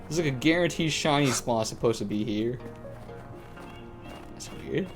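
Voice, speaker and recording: A man's voice: mid-range voice, expressive, measured pace, music in the background. A man giving casual, slangy gameplay commentary on a live stream, thinking aloud as he plays. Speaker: live streamer playing a video game.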